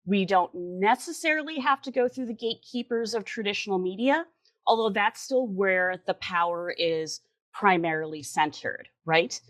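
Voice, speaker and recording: A woman's voice: mid-range voice, normal speed. A woman in her forties from the Chicago suburbs, giving an earnest, articulate interview answer with emphatic repetitions. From Chicago suburbs, US Midwest. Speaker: interview guest, writer and editor.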